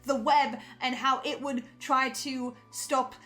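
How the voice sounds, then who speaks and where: A woman's voice: high voice, fairly even intonation, slow pace, bright, forceful tone. A woman in an in-character panel discussion, speaking in a hesitant, stammering, defensive way. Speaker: podcast panelist in character as a researcher. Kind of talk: semi-improvised in-character discussion of a horror audio drama. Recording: music playing behind the voice. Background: music.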